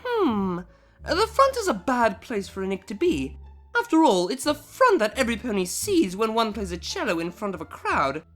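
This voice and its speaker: A woman as a high-voiced, prim pony cellist. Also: wildly swooping pitch, moderate pace, faint music behind. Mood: icy composure. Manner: formal, precise, drily menacing. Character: prim, menacingly polite female pony cellist. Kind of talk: scripted comedy fan audio drama.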